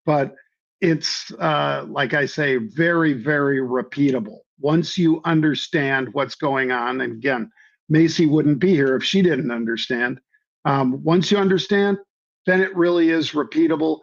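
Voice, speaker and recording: A man with a slightly hoarse voice, a trading guest explaining things in a folksy way with many ums and repeated phrases. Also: on the high side, measured pace. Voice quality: hoarse from years of floor yelling. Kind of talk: conversational explanation. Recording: dull lo-fi audio.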